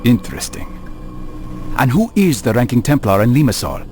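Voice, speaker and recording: Calm, determined assassin over music. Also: male voice, mid-range voice, moderate pace. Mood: calm, purposeful. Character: calm, determined young assassin questioning allies. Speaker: video game actor. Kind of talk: scripted game cutscene dialogue.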